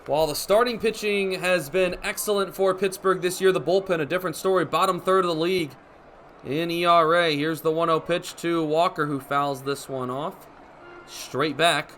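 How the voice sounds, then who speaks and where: Young male radio play-by-play caller for baseball. High, forceful voice, clipped live commentary, some ballpark ambience behind him. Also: a little hiss or hum. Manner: narrates action in short clipped phrases, building to excited calls.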